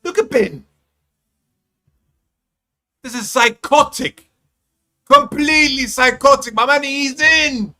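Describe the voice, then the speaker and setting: A man commentating a live esports match on his own stream, excitable and swearing often, with faint hip hop music in the background. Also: very high-pitched, slow, long pauses. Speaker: esports costreamer. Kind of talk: live costream game commentary.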